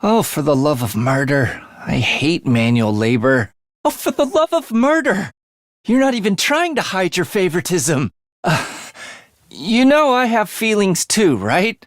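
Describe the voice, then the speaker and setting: A man's voice: sits high, big pitch swings, a little slow, dry recording. A man voicing a sly young assassin in a video game, with a dry, sardonic wit and a theatrical, teasing manner. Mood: exasperated, sarcastic. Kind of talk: video game character voice lines.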